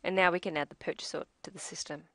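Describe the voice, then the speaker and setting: A woman with a slightly low voice narrates a scripted software demonstration. She explains each step in a measured way. The sound is very dry, with no room echo. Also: steady tone.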